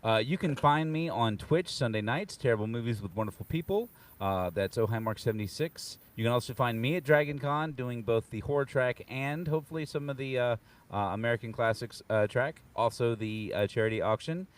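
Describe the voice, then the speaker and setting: A man's voice: medium pitch, brisk, recorded from a distance. A man speaking casually as a panelist. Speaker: panelist and streamer. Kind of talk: casual chat.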